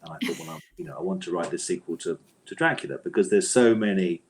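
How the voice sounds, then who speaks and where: A man's voice: low-pitched, quick pace, a little hiss or hum. A man speaking as an interviewed author in a conversational, discursive way, often interrupting himself and repeating words.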